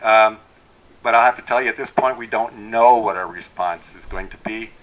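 Middle-aged tree farm owner, handheld mic in the woods; easygoing, full of "uh"s and restarts. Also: male voice, fairly low pitch, lo-fi sound. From Wisconsin. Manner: unhurried, plainspoken, many fillers and restarts. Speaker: tree farm owner giving a welcome talk. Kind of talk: informal outdoor talk to a tour group. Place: outdoors in a forest clearing. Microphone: handheld microphone.

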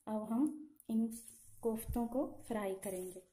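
A woman calmly talking through a home cooking recipe step by step, in a patient, instructive way. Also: on the high side, unhurried. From Himachal Pradesh, India. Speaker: home cooking channel host. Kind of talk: step-by-step cooking demonstration voice-over. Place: home kitchen.

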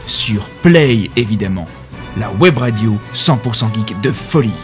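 A man's voice: fairly low pitch, expressive, a little slow. A man hosting a web radio show, delivering an upbeat, emphatic, chatty scripted promo. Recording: music behind the voice. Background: music.

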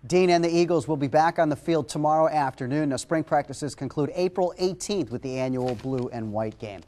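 A middle-aged man anchoring sports news in a TV studio, reading scripted stories and calling highlights. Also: brisk, a little mellow, a little hiss or hum.

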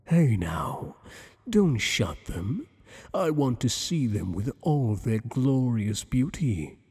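A man's voice: medium pitch, sing-song, darkish tone, slightly rough, in a large hall, exaggerated voice. A man voicing a teasing, possessive monster boss. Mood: flirtatious. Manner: teasing, flirtatious, slow and intimate. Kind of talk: ASMR roleplay.

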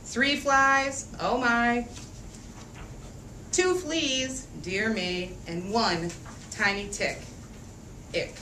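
A woman teacher reading a picture book aloud to young children, expressive and rhythmic, drawing out phrases and asking them questions. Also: fairly high pitch, extremely slow, pauses often. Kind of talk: interactive storybook read-aloud. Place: echoey room with a group of children. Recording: echoey room, distant mic, faint noise.

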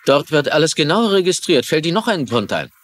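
A man's voice: on the high side, very animated, a little fast. A man playing a sarcastic police lieutenant questioning people in a dubbed TV crime drama. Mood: sardonic. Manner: sardonic, interrogating. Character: gruff, sarcastic middle-aged male police lieutenant.

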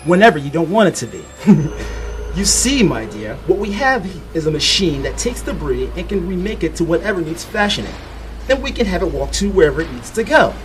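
An actor playing an eccentric, showy inventor in a dramatized audiobook, teasing and theatrical, fond of rhetorical questions. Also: male voice, a little high, big pitch swings, brisk, over music. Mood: smug, playful.

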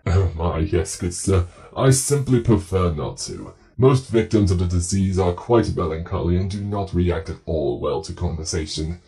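A man voicing a courteous, eerie plague doctor entity with a formal, measured, calmly zealous manner, in an audio drama. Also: sits low, soft background music. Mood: curious, genteel.

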